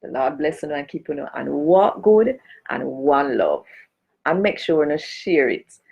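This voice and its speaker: A woman's voice: sits low, expressive, measured pace, creaky, darkish tone, slightly rough, dull audio. A woman from Jamaica chatting casually on a live stream as a cultural advocate, greeting and speaking straight to her viewers. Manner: chatty, greets and addresses viewers directly, gives blessings.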